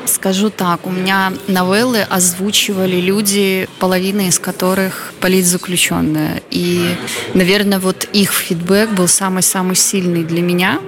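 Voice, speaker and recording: Earnest woman artist, flat even delivery. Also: on the low side, normal speed, crowd noise. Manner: reflective, earnest. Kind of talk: radio interview answers.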